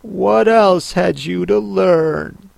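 A woman voicing a polite, curious, easily affronted young girl in a storybook, with careful, drawn-out delivery. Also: fairly low pitch, creaky, a little mellow, dry recording, voice pushed up, heavily acted.

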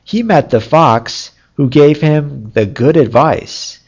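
A storyteller reading a fairy tale as scripted narration in a measured, deliberate way. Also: mid-range voice, darkish tone, slightly rough, highs cut off.

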